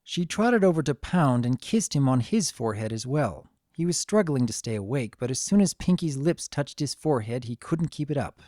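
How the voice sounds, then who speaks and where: A man reading a scripted story as narrator, with a gentle, expressive dramatic delivery. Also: mid-range voice, moderate pace, hardly any pauses, no room sound, clean studio sound.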